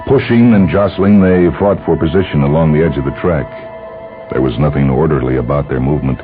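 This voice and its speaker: A man's voice: very deep voice, a little fast. A man reading a scripted story as a dramatic audiobook narrator. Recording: dull, band-limited lo-fi audio. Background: clear background music.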